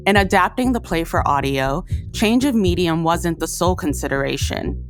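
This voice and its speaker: A woman's voice: on the low side, normal speed, soft background music. A woman hosting an audio documentary with scripted narration.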